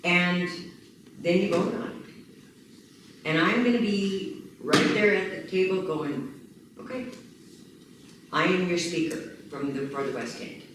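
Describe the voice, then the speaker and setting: A woman running for county commissioner, speaking energetically and emphatically at a community meeting. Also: lowish voice. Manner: energetic, emphatic, lists things rapidly. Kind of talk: campaign talk at a community meeting. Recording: echoey room, distant mic, background noise.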